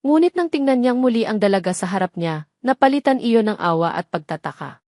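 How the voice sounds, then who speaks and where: A woman's voice: mid-range voice, lively intonation, a little fast, a little mellow, dry recording, studio quality. A woman narrating a scripted romance story as a storyteller.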